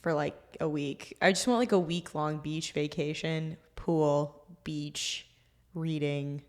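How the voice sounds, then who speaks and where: Brief: low-pitched woman podcast co-host, laid-back, dry understated replies. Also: steady tone, slow pace, darkish tone. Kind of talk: casual chat.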